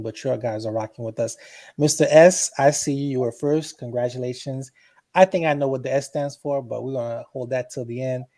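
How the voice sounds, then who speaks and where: A man with a mellow, warm voice hosts a casual call-in show. He talks in a relaxed, friendly way, giving shout-outs and switching between English and Haitian Creole. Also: medium pitch. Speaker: host. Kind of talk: casual online show hosting.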